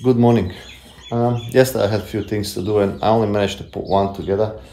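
A man's voice: fairly low pitch, fairly even intonation, fast, mellow. A man in his thirties vlogging from a stone workshop shed, chatting casually and self-deprecatingly with many fillers while he works, on a phone held at arm's length. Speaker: homesteading vlogger. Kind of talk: casual talk to camera while working. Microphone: handheld phone camera at arm's length. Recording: echoey shed with faint background noise.

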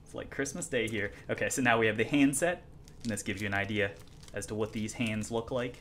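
A man's voice: fairly low pitch, a little fast, a bit bright, a little hiss or hum. A man reviewing watches, talking casually through an unboxing at home, chatty and self-deprecating with many ums and restarts. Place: home room, indoors.

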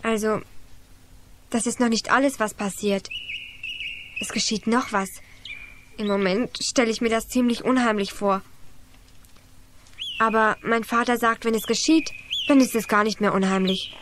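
An earnest, devout child played by a female actor with a high voice, patiently explaining in a children's radio play. Clear birdsong and noise sit behind the voice. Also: steady tone, normal speed, pauses often, close to an everyday voice.

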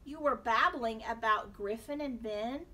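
Exasperated mom, read by a woman in a story, sharp and accusing, slightly off mic. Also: a little high, unhurried, distant mic. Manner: urgent, accusing. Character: sharp, suspicious mother confronting her son.

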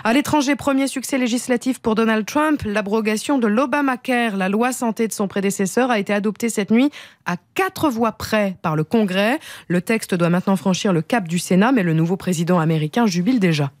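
A woman's voice: mid-range voice, fairly quick, no room sound, clean studio sound. A woman in her thirties reading a scripted radio news bulletin. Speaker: radio newsreader. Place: small radio studio. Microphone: close studio microphone with headset monitoring.

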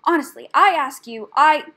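A woman's voice: high-pitched, animated, moderate pace, a bit bright, pitched above the performer's own voice. A woman voicing a cross, indignant female rat in a storybook, muttering, hissing and exclaiming. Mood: urgent, indignant. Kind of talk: audiobook character voice.

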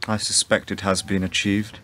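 An actor with an English accent playing a cold, exasperated husband in a radio play, stiff, formal and pompous. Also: male voice, deep voice, little pitch movement, moderate pace, pushed and bright, a little hiss or hum. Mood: exasperated, dry. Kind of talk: period-flavoured radio play acting.

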